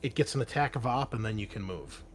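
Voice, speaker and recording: A man's voice: fairly low pitch, fast. A man running a tabletop roleplaying game as host, shifting between conversational rulings and dramatic descriptive narration.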